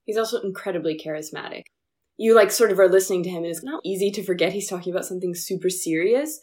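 A woman's voice: on the low side, fast, darkish tone. A woman chatting informally and candidly in a discussion. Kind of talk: casual chat about current affairs.

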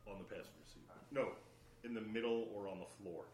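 A young man with a deep voice telling a rambling story in casual chat, aspiring voice-over artist. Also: brisk. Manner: laid-back, rambling, many fillers. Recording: roomy, distant mic, faint noise.

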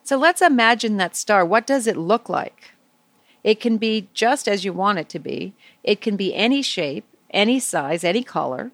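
A woman leading a guided visualization for young children, speaking in simple, soothing phrases with gentle pauses. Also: medium pitch, lively intonation, no echo.

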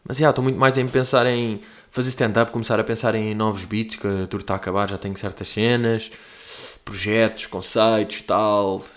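A man's voice: fairly low pitch, level tone, moderate pace. A young man from Portugal talks in a loose, rambling way, full of slang and asides. His European Portuguese accent is plain, and he pokes fun at himself as he answers listener questions like a comedian hosting his own show. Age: young adult. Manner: rambling, slangy, self-mocking. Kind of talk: casual monologue.

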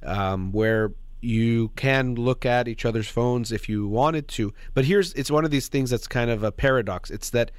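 A man hosting a call-in advice radio show, speaking in a calm, measured way. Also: medium pitch, smooth, no room sound. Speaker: radio host.

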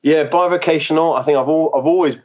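A man's voice: on the high side, fast talker, darkish tone, lo-fi sound. A man who planted a church, speaking in a podcast interview, hesitant and full of fillers and restarts. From England.

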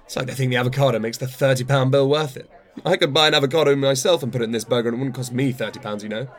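A male actor as a middle-aged-to-older man of the theatre, self-absorbed and wry. He talks very quickly at a middling pitch, rambling through his opinions with a patronising edge, in natural radio play dialogue. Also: steady tone, close to an everyday voice. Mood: grumbling.

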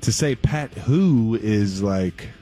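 A man's voice: fairly low pitch, expressive, slow pace, warm tone, faint music behind. A man chatting casually about sports in slangy, playful banter.

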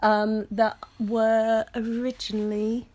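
A woman's voice: fairly high pitch, flat delivery, slow pace, warm tone. A British woman co-hosting a podcast in a casual, chatty style, full of playful, jokey banter. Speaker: podcast co-host.

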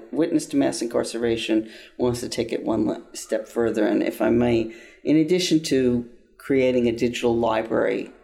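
A man's voice: medium pitch, vocal fry, dark tone. An older man giving an interview about his own experience, speaking earnestly with frequent restarts. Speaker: interview guest, nonprofit founder. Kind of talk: interview answers, personal account.

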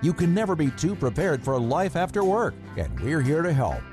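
A man announcing a scripted legal disclaimer and show intro in a smooth announcer delivery. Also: on the high side, very animated, normal speed, music in the background.

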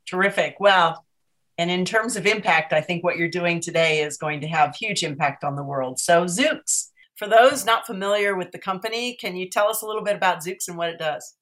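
A middle-aged woman leads an interview in a lower voice. Her delivery is upbeat and formal. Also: normal speed, a bit bright. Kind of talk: hosting an onstage interview.

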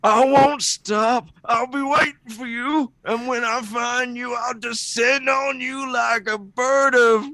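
A man voicing an angry old supervillain, ranting and menacing in a breathy, straining, out-of-breath voice in a comic-book reading. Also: very high voice, fairly even intonation, slow, hardly any pauses, pushed and bright, dry recording, pitched above the performer's own voice, heavily acted. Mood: vengeful rage.

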